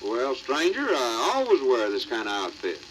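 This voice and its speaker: Folksy, animated American backwoods frontiersman. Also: mid-range voice, normal speed, low-quality recording. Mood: easygoing. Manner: folksy drawling, unflappable good humor. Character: big, easygoing backwoods frontiersman in coonskin cap. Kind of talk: 1950s children's record voice acting. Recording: old 78rpm record, light hiss.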